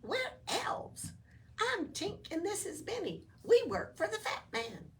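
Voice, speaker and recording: A woman's voice: very high voice, wildly swooping pitch, slow pace, voice pushed up, exaggerated voice. A woman voicing a gleeful, teasing little male Christmas elf in a storybook read-aloud. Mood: cheerful bragging. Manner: giggly, teasing, exclaiming. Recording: noisy room, distant microphone.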